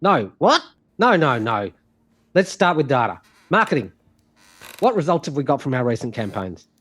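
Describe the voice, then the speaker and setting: A man's voice: medium pitch, big pitch swings, moderate pace, a bit bright, breathy edge. A man playing an impatient, blustering chief executive in a comic musical audio drama. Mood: exasperated. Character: exasperated, blustering male company chief executive.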